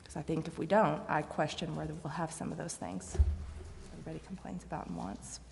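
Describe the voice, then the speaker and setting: A woman's voice: sits low, fairly even intonation, a little fast, vocal fry, noisy background. A female council member giving informal, earnest, plain-spoken remarks at a public meeting. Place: large indoor council chamber. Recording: reverberant meeting hall.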